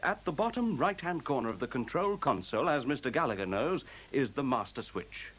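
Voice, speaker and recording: A man playing a newborn machine intelligence in a science fiction radio play, speaking in measured, formal, pedantic sentences with cool, superior logic. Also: mid-range voice, very animated, a bit bright, husky. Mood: calmly reasoning. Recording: faint hiss, 1970s radio recording.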